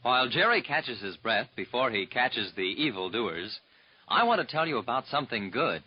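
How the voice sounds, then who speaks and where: A man as radio announcer delivering a scripted commercial in a measured sales manner, on a dull lo-fi 1940s recording. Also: medium pitch, very animated, breathy edge, no echo.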